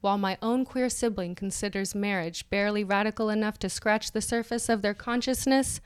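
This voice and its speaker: A woman's voice: mid-range voice, a little fast, clean tone. A woman co-hosting a podcast, doing a mock-dramatic reading of an article and laughing through her lines. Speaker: podcast co-host.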